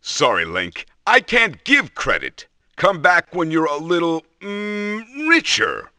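A big, sly shopkeeper from a video game cartoon. He drawls his pitch slowly and theatrically, with a bright, forceful edge and extravagant swoops, greedy and teasing. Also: huge pitch swings, dry recording, clean studio sound, heavily acted. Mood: smug teasing. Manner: drawn-out, theatrical sales pitch.

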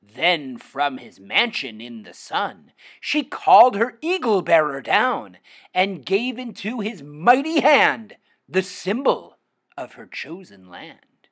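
Male poetry reader, theatrical and declamatory, big pitch swings, husky tone. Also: very animated, slow pace, a bit bright. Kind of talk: dramatic poem recitation.

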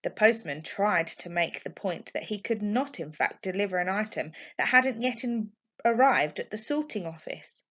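A woman's voice: mid-range voice, lively intonation, moderate pace, fairly bright tone. A woman reading a children's story aloud expressively, like a teacher to a class. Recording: dull lo-fi home recording.

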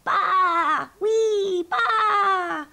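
A woman's voice: high-pitched, sing-song, slow, exaggerated voice. A woman voicing a silly, playful female sheep who bleats and exclaims in a children's storybook reading. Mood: gleeful.

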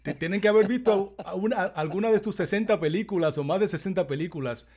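A man's voice: high-pitched, quick pace, a little mellow, slightly rough. A middle-aged man hosting a YouTube interview, upbeat and welcoming, speaking into a studio mic in a home studio. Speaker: interviewer host. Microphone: studio mic on boom arm.

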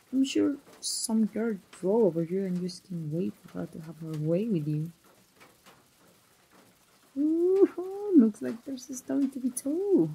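A young woman voicing an engaged woman confiding in an old friend, in a soft, breathy, close ASMR roleplay style. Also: mid-range voice, full character voice, poor audio. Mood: playful, teasing. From Mexico.

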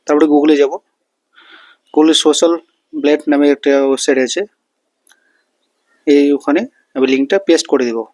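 Higher-pitched man explaining a tutorial. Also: steady tone, moderate pace, pauses often, a little mellow, no echo. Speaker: tutorial host. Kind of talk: casual step-by-step screen tutorial.

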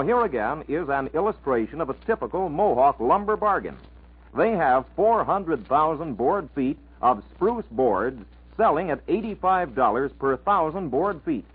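A man's voice: a little high, slightly rough. An American man announcing and reading an advertisement in 1940s radio style. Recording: narrow, noisy vintage 1940s radio broadcast.

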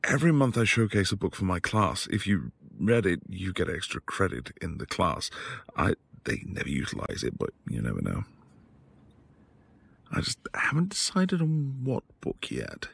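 A man acting a quiet, tender professor husband in an intimate boyfriend ASMR roleplay, soft-spoken and hesitant. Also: low-pitched, huge pitch swings, normal speed, vocal fry, breathy edge, exaggerated voice. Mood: tender, reassuring. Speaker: audio roleplay voice actor.

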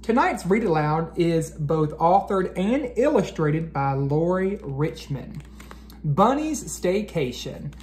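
Echoey, high-voiced American man reading aloud. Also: sing-song, a little mellow. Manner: deliberate, carefully enunciated. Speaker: educator reading aloud to children. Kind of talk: children's read-aloud, expressive storytelling. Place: living room at home, indoors. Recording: roomy echo, clear background noise.

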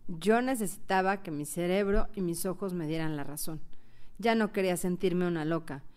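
A woman's voice: on the low side, normal speed, darkish tone, close to an everyday voice. A woman playing a hurt, jealous young woman who narrates her own story earnestly in a radio novel. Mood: hurt, confessional. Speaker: first-person narrator.

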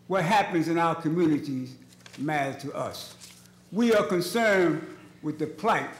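Older man testifying, high-pitched voice, echoey room. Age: 60s. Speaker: community organizer testifying. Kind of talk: impassioned public testimony. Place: large indoor legislative hearing room, seated at a table. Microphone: gooseneck table microphone. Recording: echoey hearing chamber.

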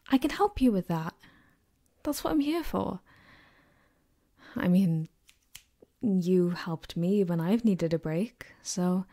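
Soft, slow girlfriend ASMR voice acted by a woman. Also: medium pitch. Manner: gentle, reassuring, unhurried. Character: caring, gently teasing young girlfriend giving comfort. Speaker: ASMR roleplay performer. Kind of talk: soft, intimate ASMR roleplay. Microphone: close binaural microphone.

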